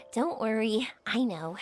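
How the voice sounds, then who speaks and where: A woman's voice: fairly high pitch, a little hiss or hum, heavily acted. A woman voicing a cheerful, free-spirited young woman in a video game, bubbly and enthusiastic. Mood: delighted.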